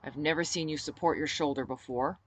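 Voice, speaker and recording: A woman's voice: deep voice, steady tone, fairly quick. A woman reading an audiobook and voicing a firm, commanding male rebel fighter who likes to tease. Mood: firm, insistent.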